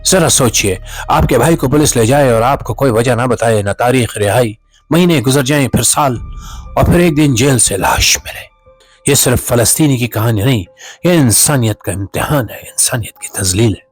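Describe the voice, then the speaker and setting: Guy with a kinda husky voice doing serious impassioned commentary, mid pitch, music behind him. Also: lively intonation, moderate pace. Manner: solemn, impassioned, rhetorical. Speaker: host and narrator. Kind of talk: scripted dramatic commentary narration. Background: clear music bed under the voice.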